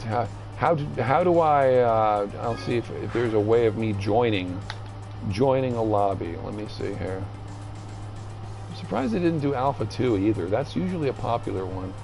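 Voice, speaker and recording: A man streaming a video game with casual, rambling commentary, haltingly thinking aloud as he reads the menus. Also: fairly low pitch, animated, vocal fry, darkish tone, faint music behind.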